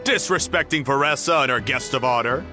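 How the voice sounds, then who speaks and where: Bright, forceful game tribesman. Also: medium pitch, brisk, faint music behind. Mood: indignant. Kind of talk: video game voice acting.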